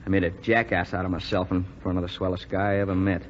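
An actor playing an eager, headstrong young would-be flyer in a 1940s radio drama, earnest and stammering. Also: male voice, low voice, little pitch movement, fast talker, close to an everyday voice. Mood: ashamed. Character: eager, headstrong young washed-out Air Forces flyer from Texas. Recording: muffled, noisy, reverberant 1940s radio recording.

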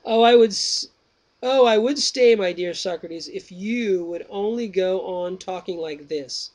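A man's voice: sits high, measured pace, pitched above the performer's own voice. Menon, a man reading as an eager young pupil. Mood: earnest.

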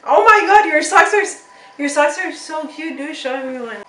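A woman's voice: high voice, expressive, normal speed, a bit bright. A young woman chatting casually and playfully in a vlog. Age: young adult. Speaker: vlogger. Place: bedroom, indoors. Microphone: camera a few feet away. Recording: roomy, distant from camera mic.

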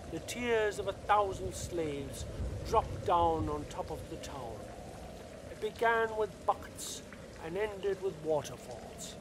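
A man narrating a scripted radio play as a deliberate, dramatic storyteller. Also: high voice, noisy background.

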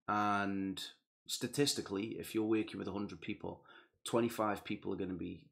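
A young man hosting a music reaction video, chatting casually and enthusiastically, turning earnest on serious points, speaking into a headset in a small room. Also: lowish voice. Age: young adult. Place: small indoor room with gaming chair and purple lights.

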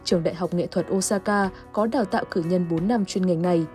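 A woman's voice: fairly low pitch, fast talker, darkish tone, soft background music. A woman reading scripted narration for a television news report.

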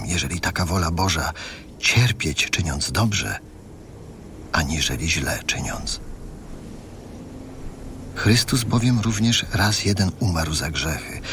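A man's voice: low voice, fairly bright tone, a little hiss or hum. A man giving a solemn, scripted reading of scripture.

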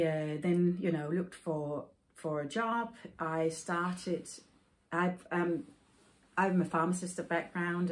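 A woman in her forties from Denmark, a native Danish speaker, hosting a knitting podcast and telling a personal story in a thoughtful, halting way with many fillers. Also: low voice. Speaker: podcast host. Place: home room with yarn shelves, indoors.

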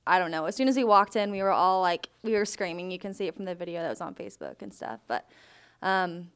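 A college softball player in her twenties, chatting in an upbeat way into a handheld mic. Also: fairly low pitch, animated, fast talker, clean tone. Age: 20s.

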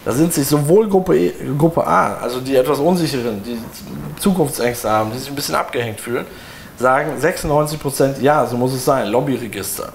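A man's voice: medium pitch, brisk, creaky, slight background noise. A man in his forties, seated indoors, explaining poll results to viewers in a loose, chatty way with many fillers and restarts. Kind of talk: walking viewers through a results screen. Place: room with window blinds, indoors.

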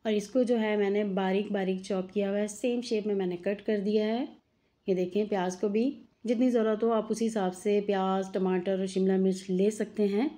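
A woman's voice: mid-range voice, warm tone. A woman, a mother hosting her cooking channel, chats homely and directly to viewers while narrating a simple recipe. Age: adult mother. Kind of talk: home cooking tutorial voice-over.

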